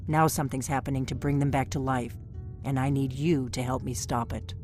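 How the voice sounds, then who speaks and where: A woman's voice: a bass voice, steady tone, brisk, darkish tone. An actress voicing a guarded, secretive female innkeeper who works as a spy, speaking in a cautious, urgent, conspiratorial way over faint game music.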